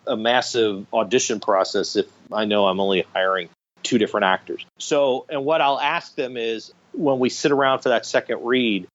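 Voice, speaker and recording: Male podcast guest, mid-pitched, explanatory and anecdotal, slightly dull lo-fi sound. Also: steady tone, moderate pace. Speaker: podcast guest, radio theatre director. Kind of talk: conversational interview answers.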